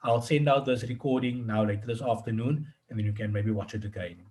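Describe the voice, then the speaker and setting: A man's voice: medium pitch, fairly quick, darkish tone, slight background noise. A man working as a tutor, explaining in an informal one-on-one session, often repeating and rephrasing himself as he goes. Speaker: accounting tutor.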